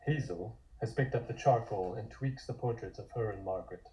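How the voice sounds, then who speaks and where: Mellow man narrating a script. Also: lowish voice, fairly quick, rough recording. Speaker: narrator reading scene descriptions at a table read.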